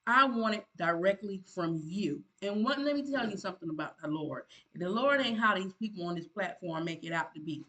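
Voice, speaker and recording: A woman's voice: on the low side, lively intonation, fairly quick, hardly any pauses, fairly bright tone. A woman, a content creator giving earnest motivational advice in a preachy, emphatic way, often repeating herself and restarting. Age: 50s.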